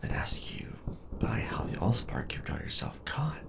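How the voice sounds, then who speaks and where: A man playing a gruff, loyal, war-weary Decepticon robot soldier in an ASMR audio drama, with a deep gravelly voice. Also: big pitch swings, normal speed, exaggerated voice. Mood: bitter.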